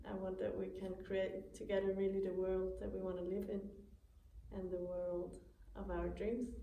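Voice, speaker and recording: Mellow, creaky Swiss-accented woman. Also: medium pitch. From Switzerland. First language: not English. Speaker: participant giving a testimonial. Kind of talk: earnest personal talk to a small group. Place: indoor room at a small gathering. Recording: echoey room, distant mic, faint noise.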